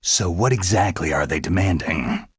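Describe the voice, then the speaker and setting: A man voicing a gruff, sardonic veteran special forces soldier in a video game, with a gravelly, husky voice and a terse, skeptical manner. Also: low-pitched, moderate pace, no echo, clean studio sound. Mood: probing, serious.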